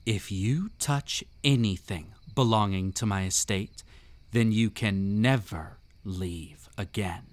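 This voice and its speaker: Man playing a slow, teasing haunted-house host. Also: lowish voice. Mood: ominous teasing. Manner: teasing, theatrical, drawn-out phrasing. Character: whimsical, mysterious, sinister male host of a haunted estate. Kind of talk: scripted roleplay audio drama.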